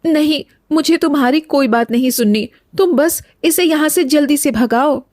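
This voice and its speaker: A woman's voice: sits high, moderate pace, darkish tone, dry recording, studio quality, natural voice. A woman playing a fearful, scolding village wife in a cartoon story, speaking insistently. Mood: alarmed, scolding. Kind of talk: scripted Hindi cartoon story dialogue. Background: background music.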